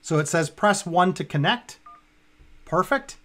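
A man in his fifties with a fairly high voice presents a software walkthrough. He speaks casually, talking himself through each step as he works on screen. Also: expressive, a little slow, a little hiss or hum. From Canada. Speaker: tutorial presenter. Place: indoors, at a computer.

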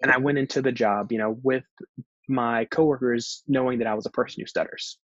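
A man's voice: medium pitch, fairly even intonation, brisk, a bit bright, no room sound. A man who stutters with repeated words, presenting in a webinar and often saying "you know". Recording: dull, lo-fi webinar audio.

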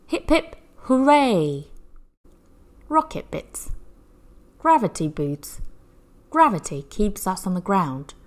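A woman reading a picture book aloud, in an animated, measured storytelling manner for young children. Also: mid-range voice.

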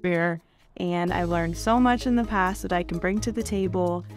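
A woman's voice: fairly low pitch, moderate pace, lightly acted. A young woman playing an attentive, courteous, enthusiastic job candidate with an American accent in a phone interview skit. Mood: polite, enthusiastic. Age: young adult. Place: indoor office meeting room. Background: background music.

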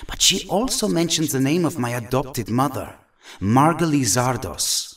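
A man's voice: mid-range voice, animated, unhurried, recorded from a distance, natural voice. An earnest young mutant hero with a troubled heart, played by a man for an audio drama. His mid-range voice swings expressively and sits slightly back from the microphone. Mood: troubled, hesitant.

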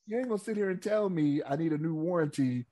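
A man on a casual podcast telling an animated story and acting out what he said to callers. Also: on the high side, quick pace, crowd noise. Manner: animated storytelling, acting out his own quotes. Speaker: podcast co-host.

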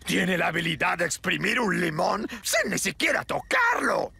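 Wildly swooping, creaky cartoon mole sidekick. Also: male voice, sits high, wildly swooping pitch, normal speed, pushed and bright, heavily acted. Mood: awed. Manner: theatrical, grandiose. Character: excitable boastful comic mole creature sidekick. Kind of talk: Spanish-language cartoon dub acting.

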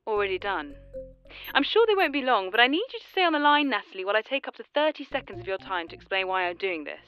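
A woman's voice: medium pitch, big pitch swings, smooth, no room sound, muffled recording, faint music behind, lightly acted. An actress playing a coldly composed, mockingly polite woman who has killed, in an audio drama. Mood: mocking, intense. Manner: measured, politely taunting.

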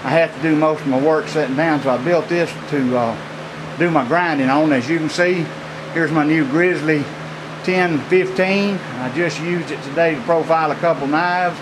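A middle-aged man, a knife maker giving a casual, rambling tour of his small metal workshop, with many pauses and fillers. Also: fairly high pitch. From Louisiana, USA. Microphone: handheld camera mic. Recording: noisy handheld camera audio.

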